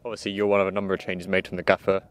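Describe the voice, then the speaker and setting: Rapid, low interviewer. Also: male voice, monotone, heavy background noise. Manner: brisk, leading questions. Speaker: club interviewer. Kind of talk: post-match interview questions. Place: outdoor football pitch at night. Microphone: handheld mic.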